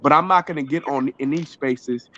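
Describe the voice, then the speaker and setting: A man calling into a debate, measured and insistent about getting to finish his point. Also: on the high side, dull audio, heavy background noise. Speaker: guest on a call. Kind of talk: casual debate.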